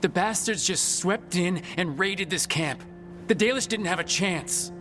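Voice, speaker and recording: A man's voice: high voice, unhurried, bright, forceful tone, soft background music. An actor playing a level-headed, determined male adventurer hero in a video game, protective and grim. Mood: urgent.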